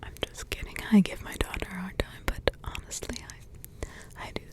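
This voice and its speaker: Woman whispering as a teasing old witch mother. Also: close to an everyday voice. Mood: teasing, gossipy. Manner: slow, intimate whispering with teasing chuckles. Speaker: ASMR roleplay host. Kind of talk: whispered ASMR roleplay.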